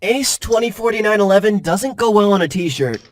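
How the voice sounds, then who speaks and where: A man's voice: high voice, expressive, normal speed, slight background noise. A man playing a cocky, upbeat young male mech pilot in an anime-style game, breezy and playful. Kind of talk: anime-style visual novel voice acting. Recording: music behind the voice.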